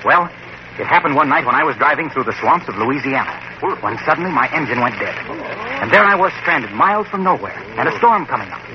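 A man telling a spooky tale with slow dramatic pauses that turns into a product pitch, in a 1940s radio comedy. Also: lively intonation. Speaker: comic announcer pitching a product. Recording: muffled old radio recording with hiss.

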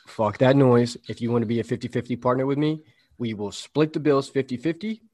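A man's voice: mid-range voice, a little fast, darkish tone. A man in his late twenties talks with an ordinary mid-range voice. He chats casually and earnestly, often repeating his words and swearing here and there. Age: 20s. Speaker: podcast co-host. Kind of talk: casual chat.

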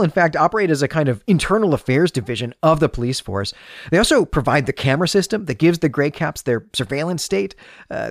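A man's voice: medium pitch, no room sound, studio quality. A man hosting a podcast, delivering an analytical, explanatory monologue in the style of literary commentary. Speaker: podcast host.

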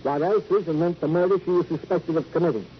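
Comic sidekick cop played by a man, American, fussy and officious, higher voice, old noisy radio drama. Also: quick pace, close to an everyday voice. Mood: officious, businesslike. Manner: pompous, mock-formal, officious. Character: fussy, pompous, comic male police sergeant sidekick. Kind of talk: 1950s radio drama acting. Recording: dull, noisy vintage radio recording.

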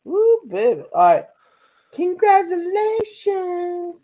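A man's voice: very high voice, wildly swooping pitch, slow, lo-fi sound. A man co-hosting a podcast who jokes around and hypes things up in casual chat. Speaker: podcast co-host.

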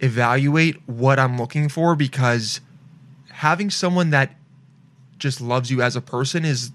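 A man's voice: mid-range voice, measured pace, fairly bright tone. A young man in his twenties hosting a podcast, talking casually and rambling, with lots of fillers and laughs. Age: 20s. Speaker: podcast host. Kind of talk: casual chat.

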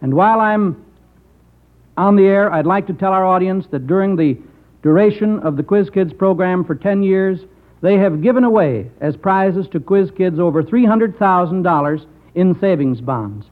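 A high-voiced man delivers a formal presentation as a public official. The sound is thin and narrow, like an old radio broadcast. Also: normal speed. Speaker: state savings bond official.

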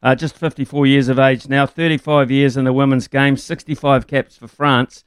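Smooth, dry-voiced New Zealand host. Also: male voice, mid-range voice, little pitch movement, normal speed, no room sound. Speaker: interviewer host. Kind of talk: casual interview.

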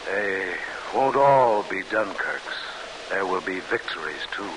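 An actor playing a measured, quietly scheming prisoner machinist in 1940s radio drama style. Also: male voice, sits low, lively intonation. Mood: conspiratorial. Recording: noisy vintage radio broadcast recording.

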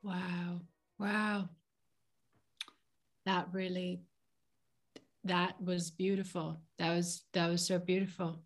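A woman's voice: lowish voice, level tone, takes it slowly, long pauses, soft background music. A woman playing a sweet, dreamy young woman from the 1950s, lost in the wrong era, in an improvised comedy. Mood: awed. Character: dreamy 1950s girl out of time.

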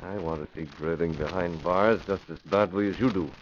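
A man's voice: sits low, moderate pace, natural voice. A man playing a resolute, commanding American masked crime fighter in a classic radio drama, speaking tersely. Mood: terse, probing. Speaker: lead actor in a radio drama. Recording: muffled old radio, faint background noise.

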